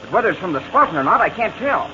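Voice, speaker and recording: A man's voice: high-pitched, sing-song, rapid-fire. An actor playing an enthusiastic young male sidekick in 1940s radio acting on an old recording. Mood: eager. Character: Chuck Ramsey, eager young male sidekick. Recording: old noisy lo-fi radio transcription.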